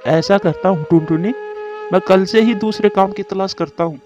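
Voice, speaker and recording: Guy doing earnest cartoon bird husband, high voice, dull lofi sound, music underneath. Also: normal speed, lightly acted. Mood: worried. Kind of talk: Hindi moral-story cartoon dubbing.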